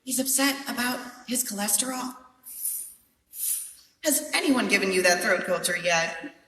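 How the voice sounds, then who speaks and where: A woman's voice: on the high side, lively intonation, measured pace, a bit bright, slight background noise. A woman playing a harried but patient doctor in a comedy radio play, placating and matter-of-fact. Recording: echoey hall, picked up from a distance.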